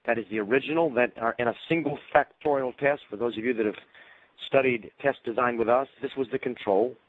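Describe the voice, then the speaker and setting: A man presenting a marketing research webinar, explaining in a measured way while he is losing his voice. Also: medium pitch. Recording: tinny phone-line audio.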